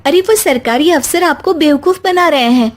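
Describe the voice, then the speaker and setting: A woman's voice: high-pitched, normal speed, voice pushed up, lightly acted. A woman voicing a spirited, educated young daughter-in-law from the city, earnest and outspoken, in a story. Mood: alarmed.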